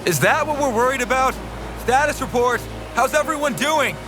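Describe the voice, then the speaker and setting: A man's voice: very high voice, moderate pace. A man voicing a sarcastic, exasperated boy team leader in a comedic cartoon parody. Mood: dry, exasperated. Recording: music behind the voice.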